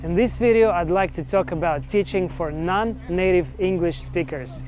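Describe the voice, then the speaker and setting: A middle-aged man hosting a video and asking interview questions in a measured way, on a lapel mic. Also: high voice, darkish tone, faint music behind. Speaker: host, interviewer. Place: outdoors by a park path.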